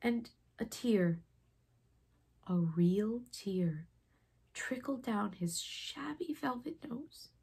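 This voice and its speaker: A woman reading a children's story expressively, with dramatic pauses and a breathy, sometimes hushed delivery. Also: mid-range voice, lively intonation. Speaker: storybook reader.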